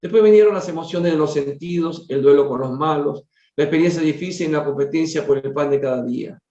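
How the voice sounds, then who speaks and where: A man's voice: on the high side, brisk, warm tone, recorded from a distance, lightly acted. A man reading the part of a troubled, weary father in a spiritual storybook, earnest and formal. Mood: wistful. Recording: dull, roomy lo-fi recording.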